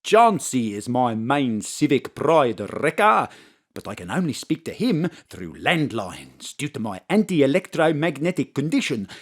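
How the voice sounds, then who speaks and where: A man's voice: medium pitch, very animated, unhurried. A man playing an eccentric scheming millionaire villain with an Afrikaans accent in a comic audio drama, delivered hammy and theatrical. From Birmingham. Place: home studio.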